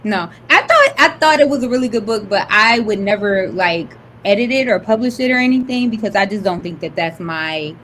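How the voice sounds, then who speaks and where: A young woman with a high, light voice talks in a relaxed, giggly way, filling her sentences with "like" and "um." A faint hiss runs under the recording. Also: a little fast, few pauses. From Detroit. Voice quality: high-pitched voice. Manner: laid-back, giggly, lots of "like" and "um". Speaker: author, podcast guest. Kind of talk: casual chat.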